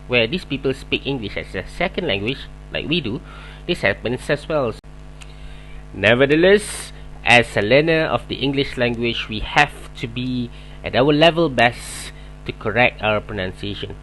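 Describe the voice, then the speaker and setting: A man's voice: mid-range voice, animated, moderate pace, fairly bright tone, a little hiss or hum, low-quality recording. A man with a Malaysian accent, a Malay speaker and English teacher, reading a prepared lesson in an earnest way. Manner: earnest, reads prepared points in order. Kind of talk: scripted video lesson.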